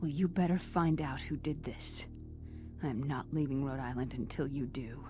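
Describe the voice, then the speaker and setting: A woman's voice: rumbling low, steady tone, normal speed, music in the background. An actress playing a distressed but determined young woman in a video game, with a terse manner. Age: young adult. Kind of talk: dramatic video game cutscene acting.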